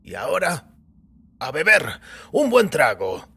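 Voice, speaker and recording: A man voicing a weary, ceremonious old priest in audio fiction, raspy and creaky, slow and savoring as he instructs. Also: on the high side, huge pitch swings, pushed and bright, voice pushed up, full character voice. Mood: savoring, instructive. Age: older.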